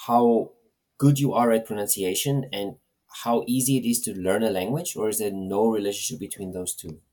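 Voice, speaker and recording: Male host; mellow, husky tone, hesitant questioner. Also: lowish voice, fairly even intonation, normal speed. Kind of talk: interview questions.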